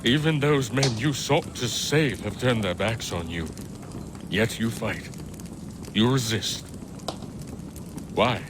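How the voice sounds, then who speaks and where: A man plays a cold, scornful enemy in a game cutscene. He speaks slowly and deliberately, weighing every contemptuous word. Loud outdoor ambience sits behind him. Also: mid-range voice, background noise, lightly acted. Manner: measured, contemptuous, slow and deliberate. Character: cold, scornful man confronting his foe. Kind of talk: video game cutscene acting.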